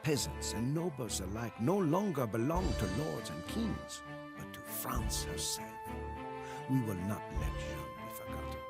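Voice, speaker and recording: A man's voice: medium pitch, sing-song, measured pace, loud music behind the voice. A man acting a grave, devoted French soldier who narrates a game campaign in a scripted, dramatic style. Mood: solemn, proud.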